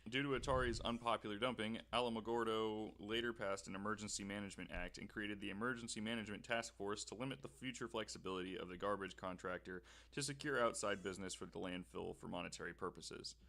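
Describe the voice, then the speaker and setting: A man's voice: lowish voice, steady tone, fast talker, gravelly, few pauses, a bit bright. A man hosting a casual podcast, reading researched notes aloud and often stumbling and correcting himself.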